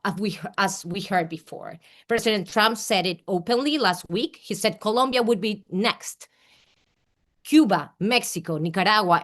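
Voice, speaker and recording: A woman's voice: medium pitch, fairly bright tone. A woman in her thirties from Peru giving an emphatic prepared speech to a rally over a video call, sometimes stumbling on words. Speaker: rally speaker. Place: speaking remotely into a rally.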